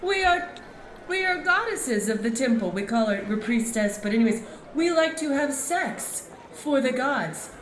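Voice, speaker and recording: A woman's voice: sits high, animated, measured pace. A woman playing a seductive temple priestess in a comic radio play, sultry and drawn out, in an echoey room. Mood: coaxing. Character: seductive, languid temple priestess luring travellers. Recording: echoey room, faint background noise.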